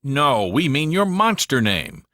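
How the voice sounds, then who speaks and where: A man's voice: mid-range voice, big pitch swings, unhurried, bright, forceful tone, no room sound, clean studio sound, voice pushed up. A man voicing a curious, simple-minded male slime monster in a storybook, questioning eagerly.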